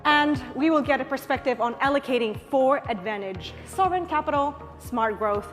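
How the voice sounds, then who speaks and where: A woman's voice: sits high, normal speed. A woman whose first language is Indonesian, hosting a conference on stage in a formal, polished announcing manner. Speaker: event emcee. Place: large conference hall. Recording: hall reverb, music and crowd.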